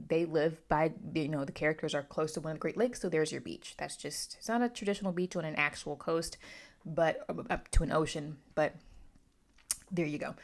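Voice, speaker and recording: A woman's voice: low-pitched, darkish tone. A woman talking casually through a book review, opinionated and emphatic, often wandering into asides.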